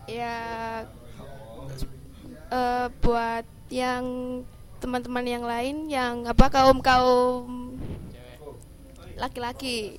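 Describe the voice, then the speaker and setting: A woman answering questions in a casual interview. Her voice sits fairly high and stays very even. She is soft-spoken and shy, often halting to find her words. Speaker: band vocalist, interview guest.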